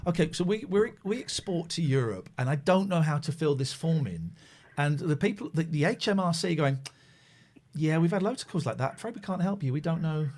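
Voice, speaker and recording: Animated chatty male radio host. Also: fairly high pitch, brisk. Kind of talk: casual late-night radio chat.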